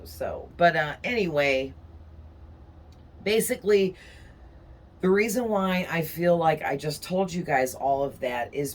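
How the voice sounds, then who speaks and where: A woman tarot reader talking casually to her viewers, her voice raspy and hoarse from strain, rambling along with frequent "okay?" tags. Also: low voice. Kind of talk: casual chatty monologue to viewers.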